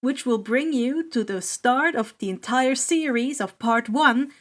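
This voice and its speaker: A woman's voice: a little high, animated, a little slow, fairly bright tone. A woman narrating fan fiction aloud as a podfic reader.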